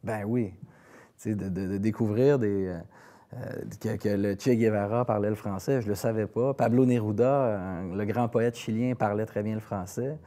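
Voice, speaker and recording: Mellow-voiced Québécois man in conversation. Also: fairly low pitch, steady tone, moderate pace. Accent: Quebec French. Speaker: singer-songwriter being interviewed. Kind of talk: casual interview conversation.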